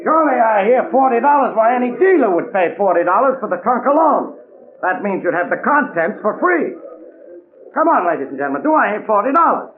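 An actor playing a jovial charity auctioneer with showy, coaxing patter in a 1940s radio drama. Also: male voice, high-pitched, sing-song, fairly quick. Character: jovial, fast-patter male charity auctioneer working a crowd. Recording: tinny, noisy 1940s radio recording.

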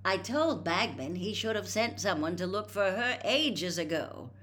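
A woman's voice: mid-range voice, animated, unhurried, fairly bright tone, voice pushed up. A woman reading aloud, voicing a tired middle-aged man who grumbles irritably. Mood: weary. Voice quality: weary, irritable.

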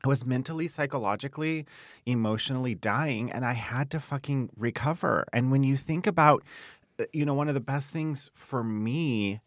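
A man's voice: medium pitch, moderate pace, no room sound, studio quality. A man in his late thirties talking candidly on a podcast, speaking emphatically, with repetition and casual swearing. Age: late 30s. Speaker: podcast host. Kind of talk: candid personal conversation.

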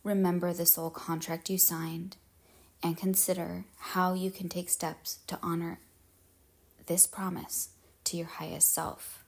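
A woman leading a guided meditation in measured, solemn phrases with pauses, her husky voice trailing into breathiness. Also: lowish voice, flat delivery.

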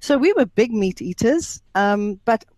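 A woman's voice: a little high, expressive, unhurried, darkish tone, no echo. Middle-aged South African woman, emphatic interview guest, very dry close sound. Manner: emphatic, talkative, explains at length. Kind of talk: interview conversation.

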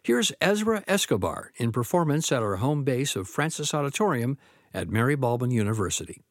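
A man hosting a classical music radio program, reading scripted narration in a smooth announcer delivery. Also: medium pitch, very animated, a little fast, no echo. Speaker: radio host.

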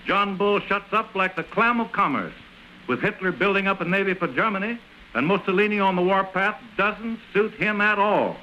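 A man's voice: high voice, normal speed, lo-fi sound. An American man delivering oratorical, emphatic 1930s radio news commentary on a noisy old recording.

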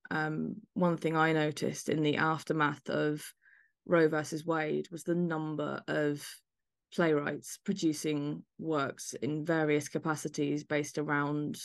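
Woman hosting an arts podcast, lowish voice, really dry sound, chatty. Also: level tone, unhurried, gravelly, no room sound. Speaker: podcast host. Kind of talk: interview chat about a play.

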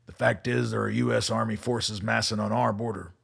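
An actor playing a weary middle-aged colonel in a radio drama, measured and commanding. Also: male voice, fairly low pitch, level tone, heavily acted. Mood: grave, urgent. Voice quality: gravelly, husky. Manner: measured, authoritative.

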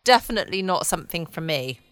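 A woman's voice: on the low side, animated, quick pace, fairly bright tone, clean tone, dry recording. A woman, hosting a podcast in a casual, rambling bedtime chat, musing to herself. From United Kingdom. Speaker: podcast host.

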